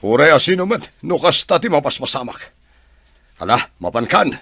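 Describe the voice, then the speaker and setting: Audio drama senior man, commanding and stern, bright cutting tone, lively delivery, male actor. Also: a little high, normal speed, husky, dry recording, studio quality, exaggerated voice. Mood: stern, disapproving.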